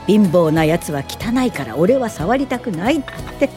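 An actress playing a resolute, compassionate female social worker and mentor in a radio drama, earnest and gently indignant. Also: medium pitch, big pitch swings, moderate pace, natural voice. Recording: studio radio drama with music underneath.

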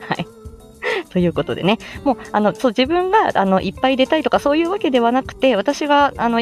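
A woman's voice: medium pitch, a little mellow. A woman hosting a casual livestream, chatting and giving encouraging tips in the manner of an amateur voice actor. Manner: chatty, encouraging, often chuckling.

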